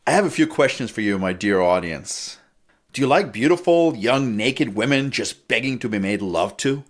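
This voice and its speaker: A man's voice: mid-range voice, moderate pace. A man hosting a talk show, giving a brash, teasing monologue. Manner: brash, teasing, lively delivery. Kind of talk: casual monologue.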